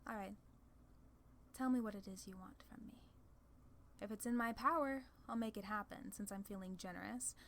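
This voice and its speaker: A woman's voice: mid-range voice, normal speed, close to an everyday voice. A playful demon queen of hell, played by a woman, talks in a mid-range female voice. She is casual and sardonic, proud and fond of teasing, as in an ASMR audio roleplay. Some noise sits behind the voice. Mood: pleased, gracious.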